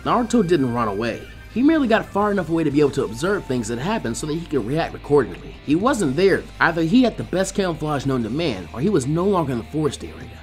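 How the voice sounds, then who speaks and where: A man narrates an action story in a high, lively voice that moves around a lot in pitch. He reads scripted prose as an audiobook, with faint music behind him. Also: quick pace, few pauses. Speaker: fan fiction reader.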